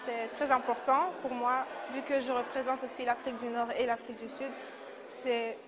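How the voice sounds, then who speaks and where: A woman's voice: a little high, little pitch movement, moderate pace. A woman speaking sincerely in an interview. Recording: crowd and music behind.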